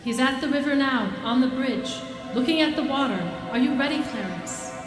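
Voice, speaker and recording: A calm senior angel acted by a woman in a live radio play. She explains with gentle authority in a high voice. Loud music plays behind her in a roomy space. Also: moderate pace, lightly acted. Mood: calm, guiding. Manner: composed, explanatory.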